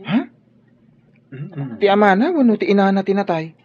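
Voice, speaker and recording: An earnest, husky young student. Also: male voice, sits high, expressive, normal speed, darkish tone. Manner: earnest, encouraging. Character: young male student Jomar, supportive friend. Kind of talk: radio drama acting. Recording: dull radio drama audio.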